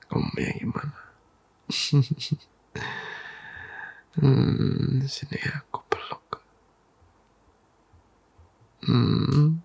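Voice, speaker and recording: A man whispering softly and intimately in a comforting boyfriend ASMR roleplay, coaxing and teasing with quiet laughs and stray English words. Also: mid-range voice, vocal fry, slightly rough. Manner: mixes English words into casual talk.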